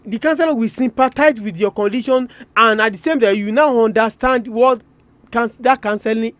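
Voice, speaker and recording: High-pitched Nigerian Yoruba man presenting radio. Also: moderate pace, no room sound. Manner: emphatic, repetitive, addresses listeners directly. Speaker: radio programme host. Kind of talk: live educational radio talk, switching between English and Yoruba.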